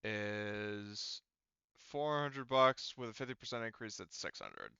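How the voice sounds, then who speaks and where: A man referees a tabletop roleplaying game in a low voice with gravelly fry. He talks casually and haltingly, working through rules aloud. The sound is very dry and a little muffled, like a lo-fi close mic. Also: wildly swooping pitch, pushed and bright, dry recording. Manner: halting, thinking aloud while checking rules. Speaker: game master.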